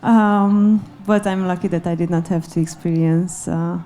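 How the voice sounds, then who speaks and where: A woman's voice: fairly low pitch, fairly even intonation, measured pace, warm tone. A woman from Transylvania speaking as an NGO representative on a panel. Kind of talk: panel self-introduction.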